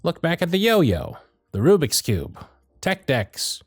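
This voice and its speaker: A man playing a gruff but fond elf workshop supervisor in an ASMR roleplay, with a bossy, teasing, sardonic way of talking. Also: on the high side, wildly swooping pitch, slow, no echo, studio quality, soft background music, exaggerated voice. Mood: musing, admiring.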